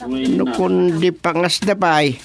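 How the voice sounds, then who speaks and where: A man's voice: high-pitched, expressive, takes it slowly, vocal fry, heavily acted. A man with an Ilocano accent playing a bossy village chairman in a radio drama, speaking with authority. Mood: firm. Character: bossy middle-aged male village chairman.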